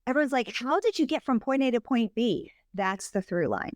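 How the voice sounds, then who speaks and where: Woman guest, slightly high smooth voice, dry close mic, bubbly and chatty. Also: expressive, a little fast, a little mellow, dry recording. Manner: bubbly, laughs often. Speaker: podcast guest, health coach. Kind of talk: casual interview chat.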